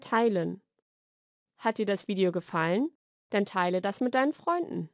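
A woman reads short scripted vocabulary lesson sentences in a clearly enunciated, measured way. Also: medium pitch, expressive, no room sound. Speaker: language lesson narrator. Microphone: studio voice-over recording.

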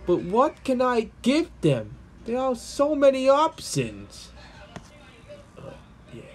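A man voicing a small, excitable, affectionate young hedgehog in an amateur comic dub, musing aloud. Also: very high voice, takes it slowly, husky, voice pushed up, exaggerated voice.

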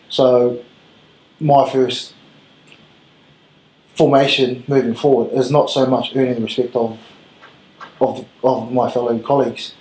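A man in his thirties with a New Zealand accent, a rugby head coach giving earnest, measured answers in an interview. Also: mid-range voice, warm tone. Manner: earnest, measured, with filler ums. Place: small room with gray wall, seated at table. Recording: roomy echo, faint room hiss.

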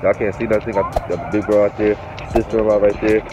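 A young man filming a casual vlog at an outdoor swap meet, talking in a relaxed, slangy way with plenty of filler phrases, phone held at arm's length. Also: lowish voice, fast talker, very noisy. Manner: laid-back slangy talk, lots of filler phrases. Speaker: vlogger.

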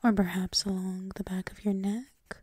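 A woman performing intimate ASMR roleplay as a gentle, teasing, doting dominant girlfriend, often whispering in a soothing, affectionate murmur close to the microphone. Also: mid-range voice, flat delivery, normal speed, breathy edge, no echo.

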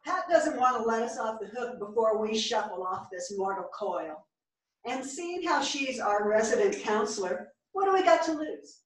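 A woman in a staged play reading, playing a dry, sardonic roommate with a deadpan delivery. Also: a little high, moderate pace, natural voice. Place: theatre stage, indoors. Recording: echoey theatre stage from far off.

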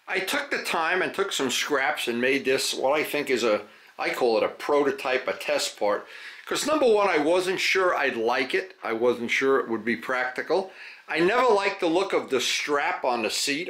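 A man's voice: a little high, pushed and bright. An older man hosting a motorcycle question-and-answer video, talking casually to camera in a folksy, rambling way with frequent restarts. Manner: rambling, self-correcting, folksy. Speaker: motorcycle restoration YouTuber.

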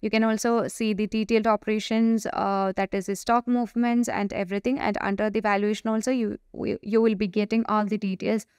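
Female software demo presenter, smooth and clear, improvised halting walkthrough, dry close recording. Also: medium pitch, level tone, no echo.

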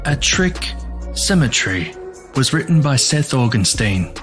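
A man's voice: mid-range voice, big pitch swings, a little slow, a bit bright. A man hosting a horror podcast, giving a theatrical scripted introduction. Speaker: podcast host. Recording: music under the voice.

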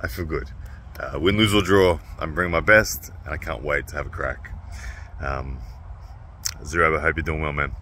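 A man's voice: extremely low pitch, steady tone, normal speed, long pauses, pushed and bright, slight background noise. A man in his thirties talking casually to a phone camera held at arm's length outdoors, upbeat and chatty with lots of fillers. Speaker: armwrestler vlogger. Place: outdoors by a car park.

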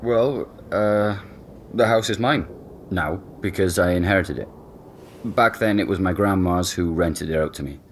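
Audio drama: actor voicing laid-back young man, deep, halting, wry. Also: slight background noise, natural voice. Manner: relaxed, joking, hesitant with fillers. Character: easygoing young man, boyfriend who owns the house.

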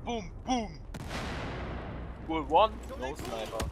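A young man co-playing a game, chatting excitably and making noises while he plays. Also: a little high, slow pace, background noise. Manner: excitable, hums and makes gun noises. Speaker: co-player. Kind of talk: casual gaming chat.

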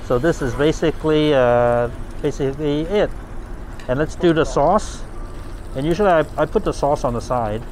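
A man filming a casual food vlog in a fast food restaurant, chatting with enthusiasm and plenty of fillers. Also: on the high side, noisy background. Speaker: food vlogger.

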